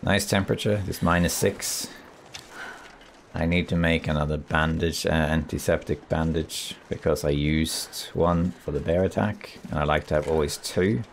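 A man with a raspy voice, still getting over a cold, calmly commenting as he plays a survival game and thinks aloud. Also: very deep voice, normal speed, slight background noise. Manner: relaxed, thinking aloud while exploring. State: recovering from a cold. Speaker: gameplay commentator. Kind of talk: calm let's play commentary.

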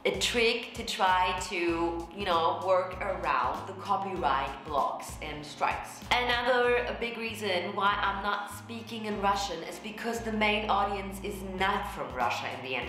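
A young woman vocal coach, a native German speaker, explaining herself in casual commentary to the camera with lively gestures. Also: medium pitch, measured pace, hardly any pauses, soft background music. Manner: animated, gestures a lot, explanatory. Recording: very echoey room.

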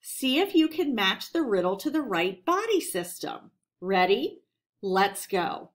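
A woman teacher leads a read-aloud lesson for schoolchildren. She speaks at an unhurried pace with a lively, varied pitch. Her delivery is instructional and addressed straight to the pupils.

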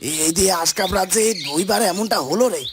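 A man's voice: sits high, quick pace, noisy background. A man voicing an anxious, suspicious subject of a fairy-tale kingdom in a cartoon, with hesitant starts. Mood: uneasy. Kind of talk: dramatic cartoon dubbing.

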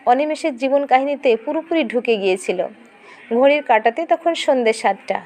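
A woman reading a scripted dramatic story as narrator, in an expressive, emotive storytelling manner. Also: high voice.

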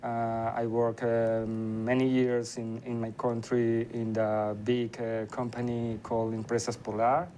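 A man, speaking haltingly with many pauses as a panel guest. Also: medium pitch, completely flat delivery, mellow, a little hiss or hum. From Venezuela. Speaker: international law student.

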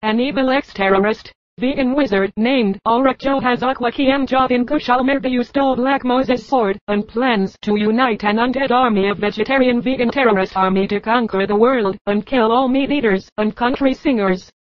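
A woman plays a smug, all-knowing cartoon seer who draws out mystical pauses. The voice sits fairly high, dry and a little muffled. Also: dry recording, natural voice.

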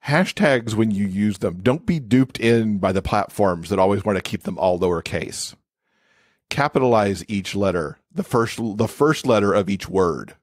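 A man's voice: fairly low pitch, expressive, normal speed, no room sound, clean studio sound. A man speaking as an expert podcast guest, explaining things in a casual, conversational way.

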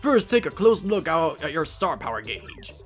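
A man voicing an elderly, pedantic, excitable professor creature in a video game, with a theatrical delivery. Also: fairly high pitch, normal speed, faint music behind. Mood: pedantic explaining.

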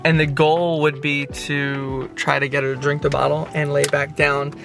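A man's voice: on the high side, level tone, normal speed, vocal fry, music in the background. A young man in his twenties giving chatty, upbeat updates to a handheld camera during a road trip. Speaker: vlogger. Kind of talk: casual travel vlog. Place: car and parking lot at night, handheld camera. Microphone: handheld camera at arm's length.

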